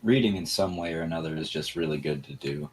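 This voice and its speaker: A man with a husky voice chatting casually as a guest on a show. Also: extremely low pitch, quick pace, a little hiss or hum. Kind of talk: casual chat.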